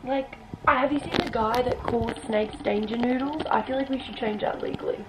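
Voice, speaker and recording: A woman's voice: a little high, fairly even intonation, a little fast, background noise. A young woman chatting casually, sleepy and giggly. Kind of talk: casual chat.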